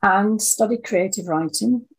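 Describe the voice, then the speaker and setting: An older woman, over sixty, talking reflectively in an interview, thoughtful and halting as she repeats words. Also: mid-range voice, slightly rough, poor audio. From Scotland. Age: 60s or older. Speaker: interview guest, audio dramatist.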